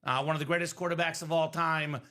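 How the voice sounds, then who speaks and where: Bright-voiced male card break host. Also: a little high, little pitch movement, quick pace. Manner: upbeat, salesy running commentary. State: voice a bit worn from singing. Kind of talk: live stream selling chat.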